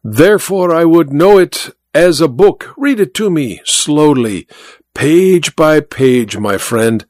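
A man's voice: a little high, expressive, takes it slowly, darkish tone, no echo. A man voicing a pompous, sly blind male stage magician, speaking in a grand, theatrical way. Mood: courtly.

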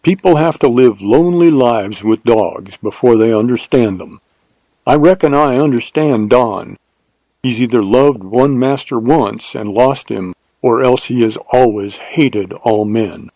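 Man voicing a grave, measured frontier rancher. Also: mid-range voice, warm tone, dry recording. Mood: thoughtful. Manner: sonorous, measured. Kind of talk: audiobook character dialogue.

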